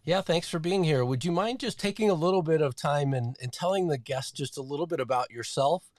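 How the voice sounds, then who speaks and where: A man's voice: a little high, a little fast, a little mellow, no room sound. A man hosting a podcast interview, upbeat and loose, with many fillers and restarts. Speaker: podcast host. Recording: dry, close sound.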